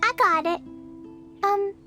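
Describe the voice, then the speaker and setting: A woman voicing an innocent, excitable little girl in a video game, eager and childlike. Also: extremely high pitch, takes it slowly, over music. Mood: sweet, earnest. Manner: childlike, eager, refers to herself by name.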